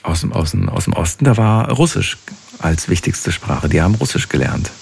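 A man's voice: deep voice, animated, moderate pace, gravelly, husky. A man interviewing a guest, asking long, probing questions in a conversational way.

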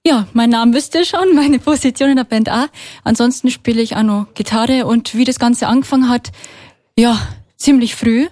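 A woman's voice: a little high, normal speed, a little mellow, no echo, studio quality. A woman of thirty with a Bavarian accent, telling anecdotes informally as a guest in a casual interview. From Bavaria, Germany. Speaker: interview guest, singer and guitarist.

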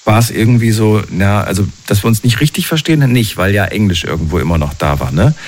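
A man with a fairly low voice leads the conversation. His questions are long and thoughtful, and he often checks in with the guest as he goes. The tone stays easy and conversational. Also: expressive, quick pace, darkish tone, slight background noise. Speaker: interviewer. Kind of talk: conversational interview.

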